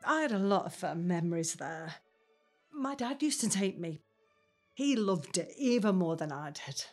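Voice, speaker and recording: Woman acting wistful old widowed mum, audio drama, very up and down voice, soft music behind. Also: lowish voice, big pitch swings, moderate pace. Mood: nostalgic. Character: elderly widowed mother, sentimental, fond of family and parties.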